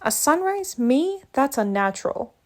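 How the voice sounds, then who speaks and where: Lady reading a lazy wisecracking cartoon cat, dry and grumbly, kinda high voice. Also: very animated, measured pace. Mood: grumbling. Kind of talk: storybook read-aloud.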